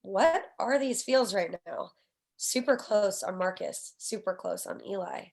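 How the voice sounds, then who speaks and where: A woman's voice: medium pitch, expressive, unhurried, vocal fry, darkish tone. A woman reading stage directions as scripted narration at a screenplay table read.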